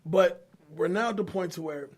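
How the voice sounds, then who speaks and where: A man's voice: sits high, normal speed, a little mellow. A man hosting a casual podcast chat, steering the topics in informal, slangy language. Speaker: podcast host.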